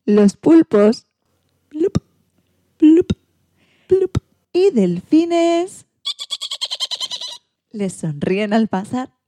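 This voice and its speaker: A woman reading a children's storybook in an expressive, theatrical way. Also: a little high, extremely slow, pauses often, background noise. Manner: theatrical, acts out sound effects and exclamations.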